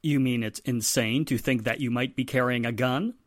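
An actor playing a firm, courteous police inspector with a formal, authoritative manner in 1940s radio drama style. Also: male voice, mid-range voice, fairly even intonation, normal speed, no room sound, studio quality, close to an everyday voice. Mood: brisk, assured.

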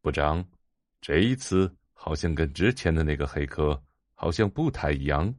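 A man's voice: extremely low pitch, expressive, normal speed, no echo, clean studio sound. An actor playing an uneasy, deferential male security engineer in an audio drama.

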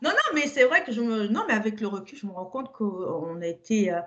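A woman's voice: mid-range voice, fairly quick. A woman from Cameroon who moved to France, talking casually and candidly, repeating words for emphasis. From born and grew up in Cameroon, later moved to France. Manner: animated storytelling, repeats words for emphasis, tag words. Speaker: podcast host in conversation. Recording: slightly dull lo-fi sound.

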